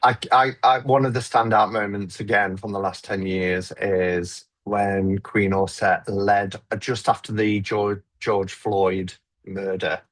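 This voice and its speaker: A man's voice: fairly low pitch, flat delivery, measured pace. A man who makes tarot videos, talking reflectively on a live stream and often restarting his sentences. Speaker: tarot YouTuber. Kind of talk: casual conversation on a live stream.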